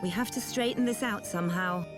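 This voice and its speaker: A woman's voice: medium pitch, fairly quick, close to an everyday voice. A woman voicing a practical, level-headed companion character. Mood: thoughtful. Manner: practical, reasoning. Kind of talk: video game cutscene voice acting. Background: game music.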